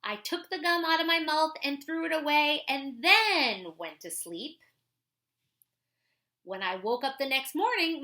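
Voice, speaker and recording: Woman reading as an earnest, very animated schoolboy. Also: high voice, full character voice. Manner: expressive, earnest, singsong. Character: earnest schoolboy learning his lesson, telling his story. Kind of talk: children's picture book read-aloud.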